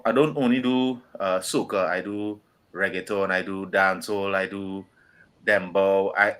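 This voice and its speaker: A man's voice: low-pitched, fairly even intonation, unhurried, fairly bright tone. A middle-aged man with a Trinidadian accent, talking casually as a musician guest in an interview. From Trinidad and Tobago.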